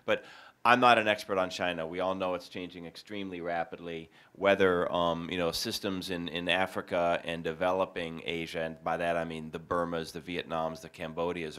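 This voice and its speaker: A man's voice: deep voice, a bit bright. A man answering as an academic panelist, matter-of-fact and careful, thinking aloud as he goes.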